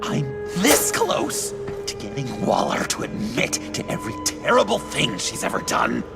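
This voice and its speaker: An actor playing an unhinged, chummy clown vigilante in a video game, creaky, theatrical and over-eager. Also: male voice, mid-range voice, wildly swooping pitch, moderate pace, exaggerated voice. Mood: chummy, manic. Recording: loud music behind the voice.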